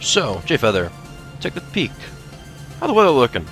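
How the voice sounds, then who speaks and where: A man reading questions as co-host of a fan Q&A in a playful, teasing, animated manner. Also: medium pitch, normal speed. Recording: faint music behind the voice.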